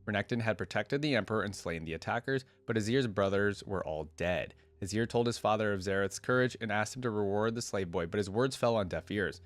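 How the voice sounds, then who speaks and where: A man's voice: fairly low pitch, a little fast, hardly any pauses. A man hosting a reaction channel, moving between casual commentary and reading text aloud.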